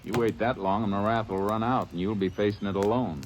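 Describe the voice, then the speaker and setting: A man's voice: fairly low pitch, steady tone, a little fast, lightly acted. An actor playing a terse, commanding Texas Ranger lawman in a 1950s radio western, on a noisy old recording. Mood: firm, pressing. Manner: terse, authoritative. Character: steady, commanding Texas Ranger lawman who narrates his case. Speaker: lead actor and narrator.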